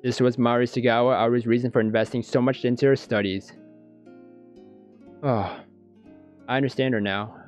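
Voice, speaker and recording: A man reading a visual novel aloud in a let's play, breaking off for halting, stammering reactions. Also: mid-range voice, steady tone. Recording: dull lo-fi audio. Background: guitar background music.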